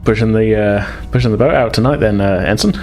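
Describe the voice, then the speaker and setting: A man playing a friendly, curious alien barman aboard a starship in a tabletop game, dry and gently teasing. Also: on the low side, fast talker, faint music behind, natural voice.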